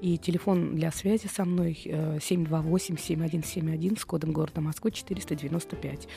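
A female radio psychologist, slightly unwell, speaks in the studio with a noticeably gravelly, rough timbre. She talks gently and soothingly, often pausing on "uh" while she chooses her words. Quiet music plays faintly in the background. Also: deep voice. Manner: calm, gentle counseling tone with many hesitations. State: slightly ill. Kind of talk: radio call-in advice talk.

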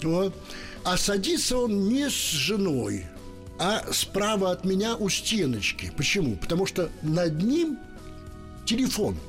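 An older man from Ukraine, an interpreter, telling a story in a radio interview, lively and acting out the voices he quotes. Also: high-pitched, unhurried, soft background music.

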